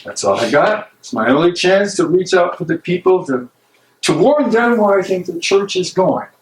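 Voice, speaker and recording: A man's voice: sits high, lively intonation, moderate pace. A man playing an earnest, protective imprisoned German theologian in a dramatic stage reading. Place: library meeting room, live reading. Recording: echoey room, noisy with clicks.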